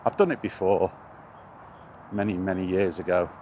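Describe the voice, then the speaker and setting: A man with a lowish voice talks casually, as a gaming vlogger would, in a rambling and hesitant flow with a wry edge of exasperation. He is recorded outdoors, with some background noise around him. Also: moderate pace, mellow. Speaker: video game vlogger.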